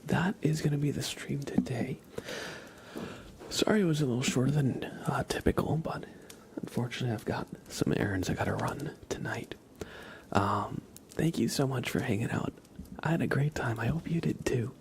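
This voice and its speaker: A man hosting an ASMR livestream, chatting casually in a soft whisper. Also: mid-range voice, normal speed. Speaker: livestream host.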